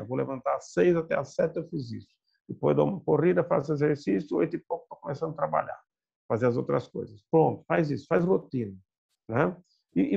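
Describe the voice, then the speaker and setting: An older man giving a lively, emphatic seminar talk from an office over a video call, gesturing as he speaks. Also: fairly high pitch, gravelly, breathy edge. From Brazil. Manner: emphatic, conversational, gesturing with hands. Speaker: guest lecturer. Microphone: webcam on video call. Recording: dull lo-fi video call audio.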